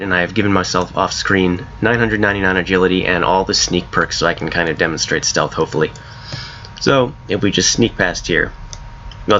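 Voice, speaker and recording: A man with a very low, hoarse voice, since he's coming down with a cold, talks through his game mod in a loose, rambling way. His tone is bright and forceful despite the depth. The home recording sounds a little dull, with a faint hiss underneath. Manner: rambling, self-correcting, laughs at his own ideas. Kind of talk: casual gameplay commentary.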